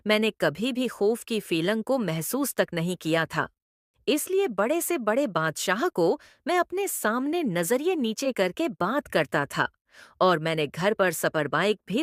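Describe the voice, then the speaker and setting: A woman's voice: medium pitch, dry recording, clean studio sound. A woman reading a scripted first-person story as a narrator.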